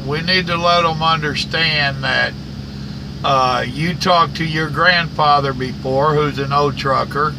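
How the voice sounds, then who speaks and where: An older man with a fairly high voice gives casual advice as a trucker hosting an interview. He is instructive and agreeable, and vehicle noise is clearly heard behind him. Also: steady tone, a little slow. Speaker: interviewer, veteran trucker mentor.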